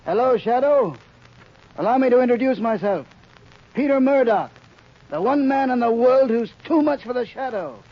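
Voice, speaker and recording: An actor playing a ruthless, gloating crime boss in a 1930s radio drama, commanding and contemptuous. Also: male voice, very high voice, lively intonation, moderate pace. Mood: gloating, taunting. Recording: muffled, noisy 1930s radio recording.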